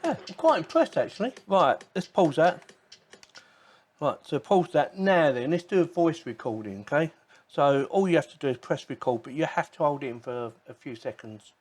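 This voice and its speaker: A man's voice: a little high, animated, moderate pace. A man giving a casual, informal product demonstration, chatting and thinking aloud as he goes. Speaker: tech product reviewer. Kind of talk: casual unboxing and demo commentary.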